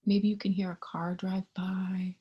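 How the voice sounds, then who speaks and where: A woman reading a picture book to young children in a gentle, singsong way, sometimes hushed, asking the listener simple questions with childlike wonder. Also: mid-range voice, little pitch movement, a little slow, slightly rough, lo-fi sound. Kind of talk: interactive children's read-aloud with questions to the listener.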